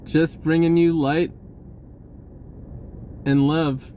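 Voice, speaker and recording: A man's voice: a little high, flat delivery, drawn-out words, warm tone, slight background noise. A man talking casually about spirituality in a rambling way, repeating words and swearing offhand. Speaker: spiritual vlogger.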